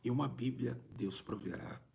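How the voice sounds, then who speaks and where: A Brazilian man with a raspy, gravelly voice, a preacher talking conversationally on a live stream and repeating phrases for emphasis. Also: medium pitch, expressive, normal speed, darkish tone, highs cut off. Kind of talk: informal livestream preaching, reading viewer greetings.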